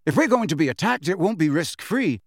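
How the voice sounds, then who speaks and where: A man's voice: high-pitched, very animated, brisk, dry recording, clean studio sound, pitched above the performer's own voice, exaggerated voice. Man voicing a forthright teenage schoolboy. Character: plain-spoken teenage schoolboy.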